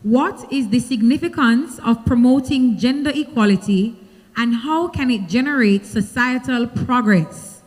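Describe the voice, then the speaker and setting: A woman's voice: a little high, a little mellow. Jamaican woman reading questions in a hall. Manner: clear, deliberate, authoritative. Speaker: question reader, stage host. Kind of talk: reading questions aloud at a pageant. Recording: long hall reverb from a stage mic.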